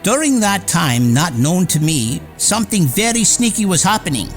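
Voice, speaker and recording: A courteous, proud inventor in a radio play. His delivery is formal and old-fashioned, swinging widely in pitch, with music playing behind him. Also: sing-song, normal speed. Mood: proud, reflective. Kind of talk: radio theater drama acting.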